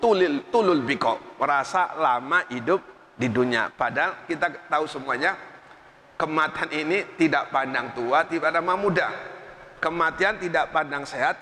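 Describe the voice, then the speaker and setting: A man's voice: on the high side, unhurried, sharp tone, slight background noise. A man preaching a religious sermon with emphasis, repeating phrases and quoting Arabic sayings. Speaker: Islamic preacher. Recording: long reverb in a hall.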